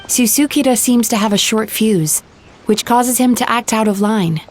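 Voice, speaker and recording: A woman voicing a composed, gentle young dream therapist who explains things calmly in an anime-style video game. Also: on the high side, normal speed, a little hiss or hum, natural voice. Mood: serious, explanatory.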